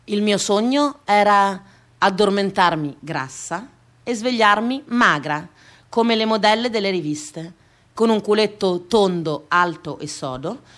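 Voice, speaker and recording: Bright-voiced woman performing comic monologue. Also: on the high side.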